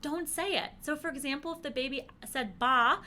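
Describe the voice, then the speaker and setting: A woman giving an upbeat, chatty lesson to parents, encouraging and animated, with frequent rhetorical questions. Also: high voice, moderate pace, a little hiss or hum. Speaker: presenter teaching parents. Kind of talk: upbeat conversational instructional talk to camera.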